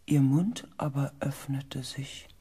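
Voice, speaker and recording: A woman playing an anxious, weary space expedition pilot, narrating a dramatic audio drama in a hushed, intense way. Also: very deep voice, slow, slightly rough, heavily acted. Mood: hushed, uneasy. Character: anxious, weary expedition pilot recounting events, far future.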